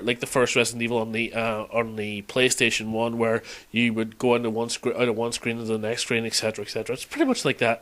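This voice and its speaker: A man's voice: medium pitch, fairly bright tone. Rambling, hesitant man reminiscing casually. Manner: hesitant, rambling, self-correcting. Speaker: retro game fan recording an audio log.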